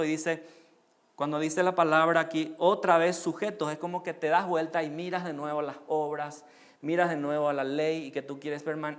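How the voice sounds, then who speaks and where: A man from Paraguay with a Paraguayan Spanish accent preaching a sermon. His voice sits a little high for a man. He keeps asking rhetorical questions and lifts the key phrases with force. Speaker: pastor.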